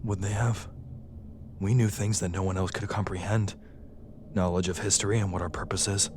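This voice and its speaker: A man's voice: deep voice, little pitch movement, moderate pace, noisy background, lightly acted. A man playing a grieving, defiant military sergeant in a sci-fi drama, questioning his superiors in a pointed way. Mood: restrained, suspicious. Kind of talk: sci-fi audio drama acting.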